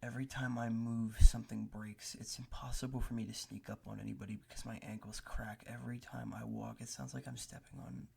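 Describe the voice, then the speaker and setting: Male ASMR creator, heavy vocal fry, very breathy half-whisper, close-miked, hesitant self-deprecating ramble. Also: medium pitch, level tone, a little fast, few pauses, a little mellow. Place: bedroom at a desk. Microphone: close ASMR microphone.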